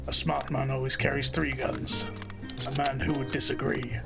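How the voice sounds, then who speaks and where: An actor playing a hard-boiled, self-important lawman, narrating a noir audio drama in a pompous, brooding deadpan. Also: medium pitch, moderate pace, vocal fry, music in the background, natural voice.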